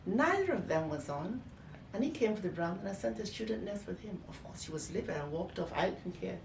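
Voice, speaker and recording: A woman's voice: mid-range voice, expressive, brisk, creaky. An older woman telling personal memories in an interview, emphatically, often acting out what was said. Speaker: interviewee, former nurse. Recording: echoey room, distant mic, faint noise.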